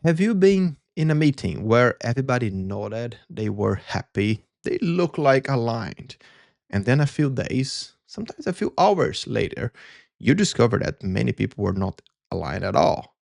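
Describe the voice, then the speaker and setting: A man's voice: mid-range voice, big pitch swings, normal speed, vocal fry, darkish tone, no echo, studio quality. A man from Brazil with a Brazilian Portuguese accent, a Portuguese native speaker hosting a solo show, talking in an earnest, reflective way and searching for words in his second language. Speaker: podcast host.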